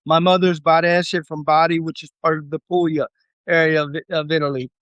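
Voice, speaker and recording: A man speaks as an interview guest, with a smooth, clear voice a little higher than most men's. His answers are relaxed and meandering, with fillers along the way. The recording is dry and close. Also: brisk, no echo, dull audio. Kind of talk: casual conversation.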